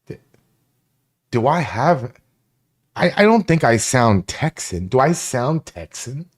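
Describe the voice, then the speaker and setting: A man's voice: medium pitch, big pitch swings, slow, breathy edge. A man from Florida hosting a casual live stream, rambling, greeting chat viewers by name and swearing freely. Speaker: livestream host.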